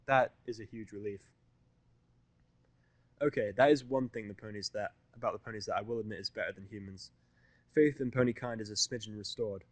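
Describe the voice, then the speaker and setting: A man's voice: lowish voice, steady tone, fairly quick, no room sound. A man reading scripted first-person fiction in a wry, informal way. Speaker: audiobook-style fan fiction narrator.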